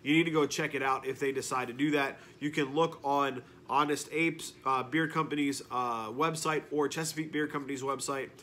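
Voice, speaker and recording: A man's voice: medium pitch, steady tone, normal speed, hardly any pauses, pushed and bright, a little hiss or hum. A man in his thirties with a nasal, congested voice from a cold, casually reviewing beard products from his living room couch and often repeating words for emphasis.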